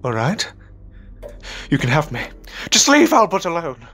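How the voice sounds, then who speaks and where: A man's voice: on the high side, sing-song, unhurried, fairly bright tone, exaggerated voice. A man playing a loyal, grieving hero in an audio horror drama, with an earnest, solemn and dramatic delivery. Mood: resigned. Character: grieving, self-sacrificing, loyal man defying a monster. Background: music.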